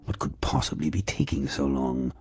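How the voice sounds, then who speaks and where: An actor playing a smarmy, scheming executive in a video game, with oily, formal, drawling politeness. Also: male voice, extremely low pitch, steady tone, normal speed, over music. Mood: sardonic, impatient. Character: smarmy scheming male corporate executive villain, obsequious.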